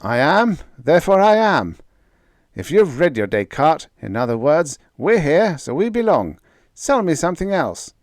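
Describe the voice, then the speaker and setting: A man's voice: medium pitch, huge pitch swings, measured pace, no room sound, studio quality, voice pushed up. A man voicing a scared but dogged young man who talks like a salesman. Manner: brash, folksy.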